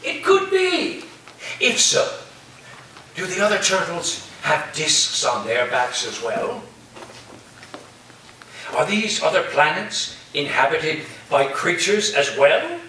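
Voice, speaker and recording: A man in a staged play reading, playing an eccentric philosophy professor with a halting, whimsical, theatrical delivery. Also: on the high side, huge pitch swings, sharp tone, heavily acted. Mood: musing, provocative. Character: eccentric male philosophy professor full of wild conspiracy theories. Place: reverberant room, staged reading before listeners. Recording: distant echoey room recording, faint noise.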